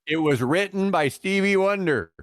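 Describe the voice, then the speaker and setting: A man's voice: sits high, lively intonation, moderate pace. Middle-aged American man swapping music stories. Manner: rambling, enthusiastic about music. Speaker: podcast guest, guitarist and radio host. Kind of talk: casual storytelling conversation.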